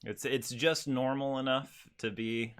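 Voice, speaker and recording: A man's voice: mid-range voice, fairly even intonation, a little slow, a bit bright, clear, resonant tone. Man chatting casually, hesitant and thoughtful. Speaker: podcast co-host. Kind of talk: casual chat.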